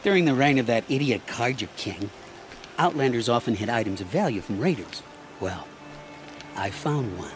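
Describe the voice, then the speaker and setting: Casting: oily middle-aged male merchant played by a man, scheming and flattering, animated delivery, video game dialogue over faint music. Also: mid-range voice, normal speed, lightly acted. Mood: slyly ingratiating. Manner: ingratiating, theatrical salesman's patter.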